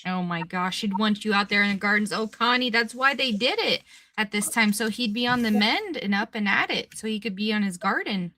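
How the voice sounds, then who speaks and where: A woman's voice: mid-range voice, fairly quick, sharp tone. A woman guest on a livestream, chatting in a friendly way. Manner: friendly, laughs easily. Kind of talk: casual chat.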